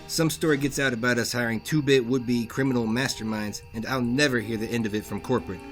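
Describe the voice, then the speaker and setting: Man as hard-nosed boss, rapid delivery, brusque, authoritative, faint music. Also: medium pitch, fairly even intonation, natural voice. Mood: stern, dismissive. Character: curt, hard-nosed male department store boss. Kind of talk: audio drama acting.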